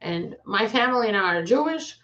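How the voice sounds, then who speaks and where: A woman's voice: mid-range voice, lively intonation, brisk, a bit bright, muffled recording, slight background noise. A woman in her forties, an immigration lawyer from Ukraine, telling her own family story in an earnest, conversational way. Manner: earnest, conversational, with self-corrections. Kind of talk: personal story to camera.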